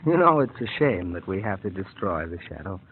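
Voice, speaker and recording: A smooth, scheming villain doctor. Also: male voice, sits low, sing-song, a little fast. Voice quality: smooth, sinister, condescending. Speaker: radio drama actor. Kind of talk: 1940s radio acting. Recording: tinny old radio broadcast with faint hiss.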